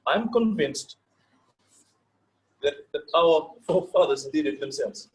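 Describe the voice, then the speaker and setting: A man from Fiji with a Fijian accent, a native Fijian speaker, giving an informal lecture. He pauses often, repeats words and checks in with his listeners. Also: fairly high pitch, lively intonation, rough recording. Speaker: session leader.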